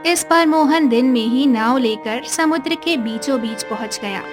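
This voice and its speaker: A woman with a high voice narrates a cartoon story as a scripted storyteller. Music and rain sound clearly behind her. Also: normal speed.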